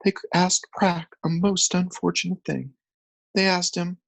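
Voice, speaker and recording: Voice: medium pitch, expressive, measured pace, vocal fry, slightly rough, no room sound, voice pushed up, full character voice, low-quality recording. A narrator voicing a frantic, weeping old male journalist who whimpers and raves.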